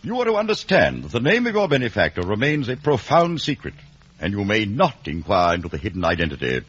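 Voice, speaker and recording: Brusque, husky, imperious lawyer. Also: male voice, fairly low pitch, wildly swooping pitch, fast, a bit bright, exaggerated voice. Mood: stern, commanding. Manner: curt, authoritative, formal. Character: brusque, imperious male London lawyer, stern and exacting. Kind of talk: 1950 radio drama acting. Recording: muffled, dull vintage radio recording.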